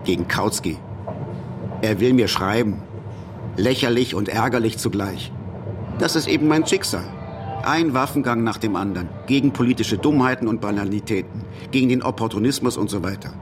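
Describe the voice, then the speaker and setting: Distant, low-voiced actor as wry revolutionary exile. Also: male voice, moderate pace, music in the background, natural voice. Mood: irritated, wry. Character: combative revolutionary exile, wry, irritable, dictating a letter. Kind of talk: German radio drama letter monologue. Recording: distant mic, clear outdoor traffic noise.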